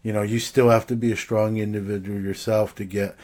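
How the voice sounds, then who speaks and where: A man with a somewhat low voice, speaking as a guest on a talk show. He reflects slowly and hesitantly, leaning on fillers and restarting his sentences. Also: level tone, a little mellow. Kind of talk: casual chat.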